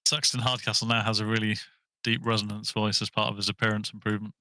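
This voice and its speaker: Bright, forceful man joking at a game. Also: fairly low pitch, little pitch movement, fast talker. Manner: jokey and chatty. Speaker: player. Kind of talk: casual chat at a game table.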